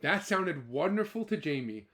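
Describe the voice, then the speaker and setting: Animated man telling a children's story. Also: a little high, normal speed. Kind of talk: expressive children's storytelling, part read and part ad-libbed.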